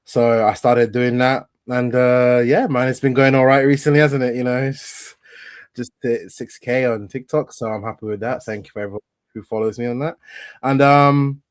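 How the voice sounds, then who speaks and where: A man's voice: medium pitch, fairly even intonation, moderate pace, clean tone, no echo, studio quality. A young man from northern Italy with an English accent, chatting casually as a podcast guest and talking in a laid-back way with plenty of fillers. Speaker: guest, football content creator.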